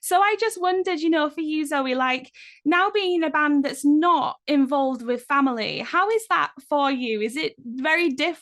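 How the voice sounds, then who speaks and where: A woman's voice: sits high, normal speed. A woman hosting an interview over a video call, asking long, effusive questions. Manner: warm, effusive, rambling questions. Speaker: interview host. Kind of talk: casual interview.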